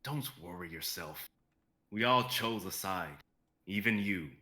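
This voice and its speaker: A proud god of strength, acted by a man speaks low and clear-edged, with a forceful tone. He is assured and easygoing in this stage-style reading. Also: lively intonation, unhurried, roomy sound, full character voice. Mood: reassuring.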